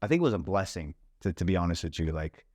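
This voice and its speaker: A man's voice: deep voice, animated, quick pace, a little mellow, no echo, studio quality. A young man from Lebanon, a startup founder, chatting casually on a podcast in a laid-back way, full of "like" and "bro". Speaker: startup CEO guest.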